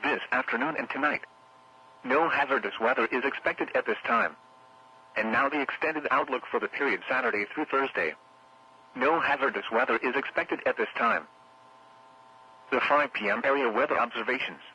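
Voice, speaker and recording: A man's voice: mid-range voice, moderate pace, pauses often, pushed and bright, slightly rough, muffled recording. A synthetic text-to-speech man reading a scripted weather forecast over the radio as an automated announcer, in a formal, clipped, evenly phrased way. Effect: radio broadcast.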